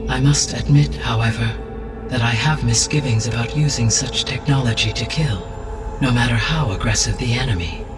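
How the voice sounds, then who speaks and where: A man voicing a calm, benevolent artificial intelligence in a video game, speaking in a formal, precise and measured way. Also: medium pitch, distant mic, close to an everyday voice. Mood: grave, conscientious. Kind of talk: scripted video game cutscene dialogue. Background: background music.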